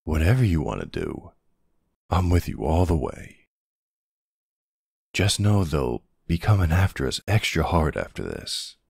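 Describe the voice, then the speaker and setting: Man acting a very deep, gravelly older boyfriend. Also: very animated, a little mellow, dry recording, studio quality, heavily acted. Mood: affectionate. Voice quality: gravelly, husky. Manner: slow, hesitant, soothing. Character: protective older ex-military boyfriend, gruff but tender. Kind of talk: intimate ASMR boyfriend roleplay.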